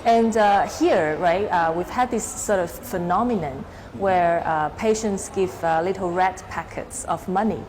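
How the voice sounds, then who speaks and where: A woman's voice: lowish voice, measured pace, few pauses, a little mellow, slight background noise. A woman hosting a talk show, asking casual interview questions in a hesitant, pieced-together way.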